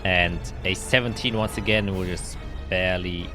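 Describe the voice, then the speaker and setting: A man's voice: deep voice, moderate pace. A man speaking casually and colloquially as the game master of a tabletop roleplaying game, narrating the action. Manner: colloquial, swearing. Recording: music playing behind the voice. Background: game background music.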